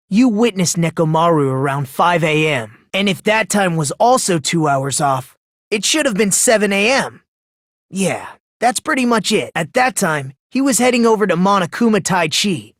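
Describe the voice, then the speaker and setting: An actor playing an eerily polite, smug high school boy in an anime game dub, talking in a condescending, leisurely, theatrical way. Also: dry recording, studio quality. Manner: condescending, leisurely, theatrical, rhetorical tag questions. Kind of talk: anime video game dub acting, deductive monologue.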